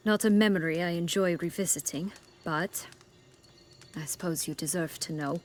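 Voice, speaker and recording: Low-voiced, haughty exiled noblewoman played by a woman. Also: a little hiss or hum, lightly acted. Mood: bitter. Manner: haughty, formal, measured. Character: proud, bitter exiled noblewoman, cool and imperious. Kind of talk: video game dialogue.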